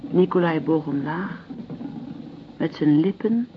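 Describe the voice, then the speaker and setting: A woman playing a genteel, wistful lady in a radio drama, speaking in a measured, reflective way. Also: low voice, noisy background. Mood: wistful, reminiscing. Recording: dull, muffled radio play recording.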